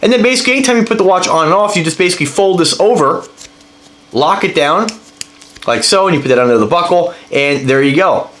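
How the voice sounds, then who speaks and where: A man's voice: sits high, expressive, quick pace, a bit bright, roomy sound, a little hiss or hum. A man with a congested voice from a cold, rambling enthusiastically through a casual product review as he handles the item. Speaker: watch reviewer.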